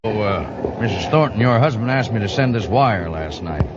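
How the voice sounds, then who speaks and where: An actor playing an obliging, deferential train conductor with a polite, hesitant manner in an old-time radio drama. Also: male voice, expressive, moderate pace, lightly acted. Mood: confidential. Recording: noisy muffled old radio recording, rumbling background.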